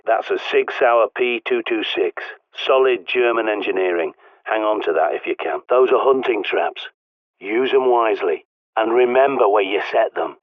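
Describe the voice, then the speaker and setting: An actor playing a gruff, secretive ex-army survivalist with a British accent, giving dry, knowing instructions in video game voice lines over a radio transmission. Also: male voice, sits low, no echo. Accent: British English. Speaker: game character, survivalist guide. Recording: tinny radio-filtered line.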